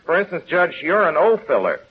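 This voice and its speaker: A man's voice: a little high, very animated, a little fast, husky. Unassuming small-town everyman, plain-spoken, earnest, folksy delivery, dull 1930s radio sound. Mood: mild, earnest. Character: plain-spoken, guileless small-town man defending himself. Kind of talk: 1930s-40s radio drama acting. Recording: muffled, noisy old radio recording.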